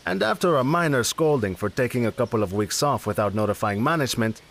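A wry narrator for a comedy audio drama. His pitch sits in the middle, and he delivers scripted lines in a dry, matter-of-fact way. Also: animated, fast talker, vocal fry.

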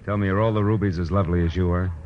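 An actor playing a wry, wisecracking American private detective in 1940s radio style, terse and slangy. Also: male voice, low voice, fairly even intonation, racing, a little hiss or hum, lightly acted. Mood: easygoing, teasing. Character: hard-boiled, wisecracking male private detective. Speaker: lead actor and first-person narrator. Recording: dull old radio recording with music.

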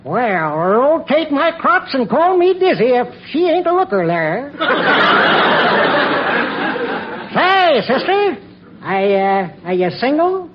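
An actor playing a stubborn old bachelor hog farmer in a radio comedy, with a laconic, folksy rural American drawl. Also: male voice, very high voice, very animated, measured pace, full character voice. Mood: smitten. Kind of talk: 1940s radio comedy acting. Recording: noisy muffled old radio recording.

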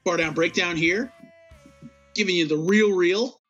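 Rambling New podcast host, muffled. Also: male voice, high-pitched, measured pace, fairly bright tone, faint music behind. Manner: laid-back, rambling with many fillers. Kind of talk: casual hockey podcast chat.

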